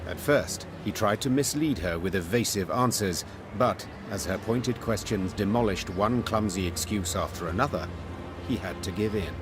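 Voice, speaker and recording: A storybook narrator for a fantasy game, played by a man, with a low voice. His telling is measured and literary, set over clear music. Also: lightly acted. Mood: solemn.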